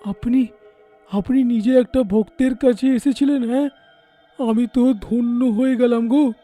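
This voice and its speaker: A woman voicing an excitable village man in a ghost cartoon, loud and theatrically emphatic. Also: on the high side, measured pace, faint music behind. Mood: awed. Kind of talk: cartoon character acting.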